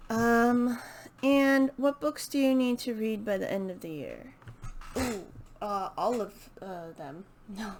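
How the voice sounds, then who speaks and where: A woman chatting casually and unscripted as a book vlogger, hesitant and halting as she thinks aloud. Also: fairly high pitch, slight background noise. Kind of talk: casual unscripted chat to camera.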